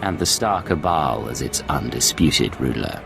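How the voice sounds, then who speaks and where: A cool, controlled secret agent from a sci-fi game. His voice sits very low with a gravelly creak, and he delivers his lines with dry, sardonic wit. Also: lively intonation, a little slow, over music, heavily acted. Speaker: voice actor. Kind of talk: dramatic sci-fi game dialogue.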